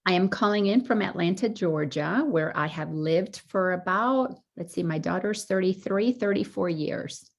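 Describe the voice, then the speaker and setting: A woman in her fifties from the Dominican Republic and the US, a guest chatting on a video call, warm with thoughtful pauses. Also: fairly low pitch. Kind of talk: casual interview chat.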